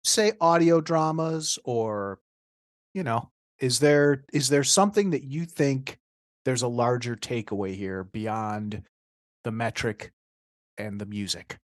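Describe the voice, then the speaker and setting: A man with a mid-pitched voice interviews a guest as a podcast host. His questions are casual and loosely phrased, and the sound is very dry. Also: slow, dry recording.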